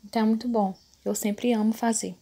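A woman in her thirties gives a relaxed at-home hair tutorial. Her voice is dark and husky, with a gravelly edge at the ends of phrases. She keeps turning to her viewers and checking that they follow. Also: fairly high pitch, a little fast. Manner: chatty, addresses viewers as "gente", many fillers like "né". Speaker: beauty vlogger. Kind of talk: casual hair-care tutorial. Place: small bedroom at home, indoors.

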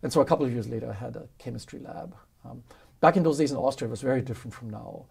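Guest voice: fifties man, German accent, husky and gravelly, lively storyteller who laughs a lot, studio interview. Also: mid-range voice, very fast. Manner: animated, laughs often, acts out quotes. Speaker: guest, professor. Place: studio with dark curtain backdrop.

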